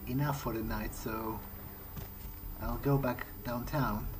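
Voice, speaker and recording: Male gamer, mid-pitched, musing in character with halting phrasing, noticeable noise and game atmosphere underneath. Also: fairly even intonation. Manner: hesitant, thinking aloud. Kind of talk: improvised in-character video game roleplay. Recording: clearly noisy, game ambience underneath. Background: video game ambience.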